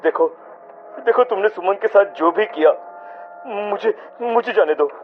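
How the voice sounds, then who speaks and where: A man's voice: high voice, measured pace. A man playing an earnest young husband with dramatic delivery in a horror cartoon. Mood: frightened, pleading. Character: caring young husband, bewildered and frightened. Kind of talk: cartoon horror dialogue acting. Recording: muffled audio with faint music.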